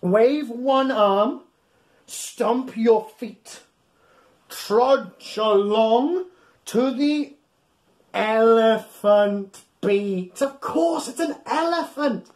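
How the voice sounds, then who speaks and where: A man's voice: extremely high pitch, darkish tone. A man in his thirties reading aloud to young children in a playful, exaggerated, encouraging way, recorded in a small room at home. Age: 30s. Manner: addresses listeners with questions and pauses. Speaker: children's bedtime storyteller. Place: small home room full of soft toys.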